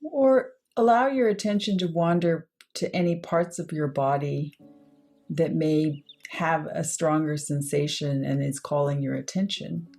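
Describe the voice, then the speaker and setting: A woman leading a guided meditation, speaking in unhurried, measured short phrases with pauses between them. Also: low-pitched, steady tone, creaky, darkish tone, soft background music. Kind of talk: guided meditation, slow soothing instruction.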